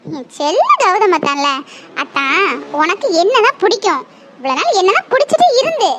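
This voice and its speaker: A woman's voice: extremely high pitch, big pitch swings, normal speed, heavy background noise. A woman playing a young woman who pleads with her drunk lover, tearful and half-laughing. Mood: coaxing.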